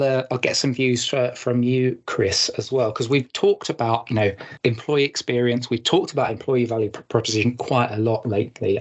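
A man's voice: medium pitch, husky. A man hosting a podcast in a chatty, rambling way, introducing guests and setting up questions. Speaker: podcast host.

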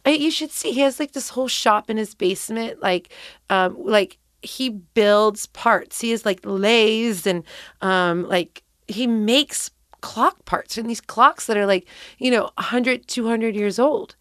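A woman's voice: fairly high pitch, measured pace, a bit bright, dry recording, studio quality. A woman being interviewed in a casual, chatty way, laughing often and restarting her sentences. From Cincinnati, USA. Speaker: guest, bartender.

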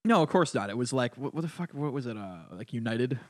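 A man hosting a casual comedy podcast, telling stories quickly with plenty of swearing. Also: mid-range voice, no room sound, clean studio sound. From Connecticut. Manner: quick, profane, animated storytelling. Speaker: podcast host.

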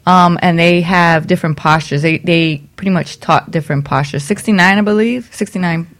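A woman's voice: lowish voice, steady tone, a little fast, fairly bright tone. A woman co-hosting a radio show in a casual, playful way. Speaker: radio co-host.

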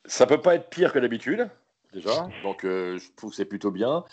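A man's voice: medium pitch, very animated, moderate pace, a little mellow, dull audio, a little hiss or hum. A man on a football podcast panel with a dry, slightly caustic, hesitant way of speaking. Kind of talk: casual opinion chat.

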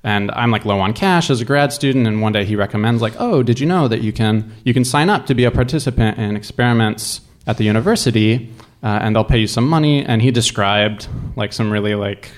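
Casting: young male storyteller, mid-pitched voice, casual and animated, rambles and circles back through his anecdote. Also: fairly quick.

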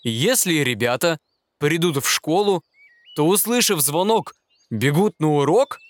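A man's voice: a little high, lively intonation, a little slow. A man voicing a cautious male mole, a school watchman in a children's storybook, who asks worried, hesitant questions. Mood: questioning. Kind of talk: storybook character voice.

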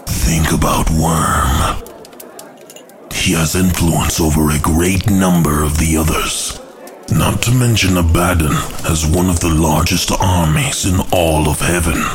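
A man acts as a grave rebel angel with a very low, slow voice over music. He is solemn and persuasive, speaking formally like a plotter in an audio drama. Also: steady tone. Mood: solemn, conspiratorial.

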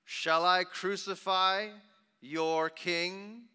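A man's voice: high-pitched, steady tone, very slow, bright, forceful tone, clean tone, pitched above the performer's own voice, heavily acted. A man playing a stern, insistent Roman governor in a dramatic scripture reading, with commanding, emphatic delivery, in an echoing hall. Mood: demanding. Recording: long reverberant hall echo.

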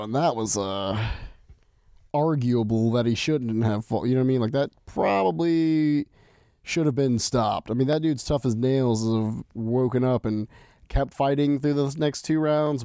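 A man's voice: medium pitch, moderate pace, warm tone, dry recording, clean studio sound. A man co-hosting a podcast, chatting casually and arguing in a joking, rambling way while getting over being sick. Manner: joking, argumentative, rambling with fillers. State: recovering from illness, nosebleed. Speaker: podcast co-host. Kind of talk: casual chat. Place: home room, sitting on the floor.

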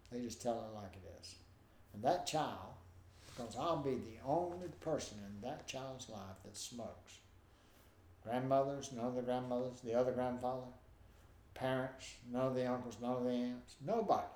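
An older man with a nasal voice, telling stories in an animated way as a guest in a conversation. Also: medium pitch. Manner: animated, acts out quoted voices. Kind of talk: storytelling in a conversation. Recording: roomy with faint ambience.

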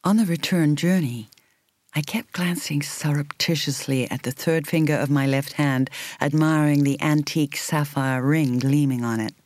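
A woman reading an audiobook in the role of a reflective woman in her thirties recalling her romance, in a measured, intimate, expressive way. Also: low-pitched, no echo, lightly acted. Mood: fond, reflective. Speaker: audiobook reader. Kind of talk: scripted first-person narration.

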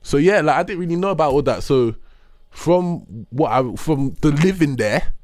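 A man's voice: a little high, moderate pace, no room sound, clean studio sound. A young man from the UK, chatting casually and laughing often through slangy stories. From United Kingdom. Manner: laughs a lot, animated slang-heavy storytelling. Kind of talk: casual podcast chat.

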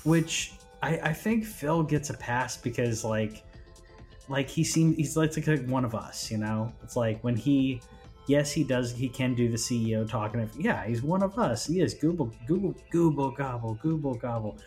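A man's voice: medium pitch, moderate pace, a little mellow, faint music behind. A man co-hosting a gaming news show in a loose, friendly, informal conversational way. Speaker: gaming news podcast co-host. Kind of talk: casual chat.

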